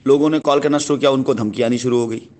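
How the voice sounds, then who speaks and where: A man's voice: mid-range voice, fairly even intonation, fast, darkish tone. A man speaking as a company head on a phone call, explaining himself insistently and repeating his phrases. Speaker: company head answering a customer. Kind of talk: phone conversation, persuasive explaining.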